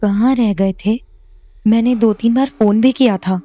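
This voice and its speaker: An actress playing an anxious married woman in an audio drama, speaking in hushed, worried questions. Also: on the high side, normal speed, natural voice.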